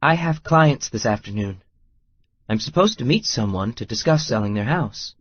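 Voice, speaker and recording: An exasperated, guarded young woman played by an audiobook actress in romance dialogue. Her breathy voice swings widely in pitch, and the recording is slightly dull. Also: animated, moderate pace, no echo. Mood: pleading.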